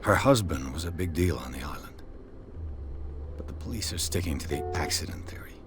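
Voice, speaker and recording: A man playing a curt, world-weary private detective with a gruff, deadpan delivery in a video game. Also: rumbling low, a little fast, loud music behind the voice. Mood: pensive, skeptical. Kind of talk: dramatic video game dialogue.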